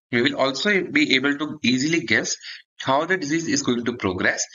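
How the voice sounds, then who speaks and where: A man's voice: medium pitch, pushed and bright, muffled recording. A man gives an explanatory medical lecture for exam students, often checking in with a short "okay". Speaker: dermatology lecturer.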